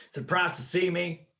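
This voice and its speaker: A man's voice: sits high, gravelly, a bit bright, exaggerated voice. A man playing a suave gangster boss in a radio crime drama, mock-courteous and taunting. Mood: smugly menacing. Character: suave, gloating male gangster boss with a gun.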